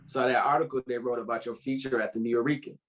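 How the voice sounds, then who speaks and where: A man's voice: medium pitch, steady tone, fast, a bit bright, close to an everyday voice. A man playing a laid-back, bantering old lover in a drama script read, with an American accent and casual, slangy speech. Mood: proud, friendly. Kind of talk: informal screenplay table read.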